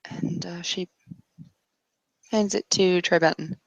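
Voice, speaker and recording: Woman, creaky low voice, dry sound, tentative improvised game narration, broken phrases. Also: steady tone, no room sound, muffled recording. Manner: halting, tentative, piecing sentences together. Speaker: roleplaying game player. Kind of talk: improvised tabletop roleplay narration.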